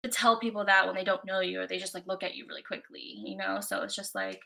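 A woman's voice: mid-range voice, fairly even intonation, fast talker, creaky, bright, forceful tone. A young American woman from Florida, a guest in a casual interview, talking loosely with lots of "like" and "you know". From United States. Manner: chatty, many "like" and "you know" fillers. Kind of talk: casual conversation.

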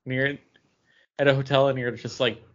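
A man's voice: mid-range voice, little pitch movement, a little fast, dull audio. A man talking casually as a podcast host, sharing personal anecdotes. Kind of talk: casual chat, telling anecdotes.

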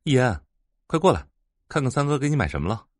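A man's voice: mid-range voice, sing-song, fast, dry recording, clean studio sound. A man acting a doting, teasing young older brother in an audio drama. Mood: fond, teasing. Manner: affectionate teasing.

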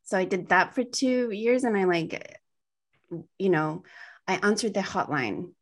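A woman's voice: mid-range voice, lively intonation, a little slow. A woman co-hosting a podcast in casual conversation, with a soothing voice, talking in meandering, self-correcting sentences full of fillers. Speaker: podcast co-host.

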